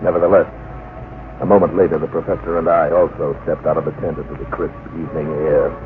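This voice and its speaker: An actor playing a weary, sardonic adventurer who narrates an expedition in an old-time radio drama, with a dry delivery. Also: male voice, very deep voice, little pitch movement, fast talker, slight background noise. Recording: muffled old radio recording, music behind.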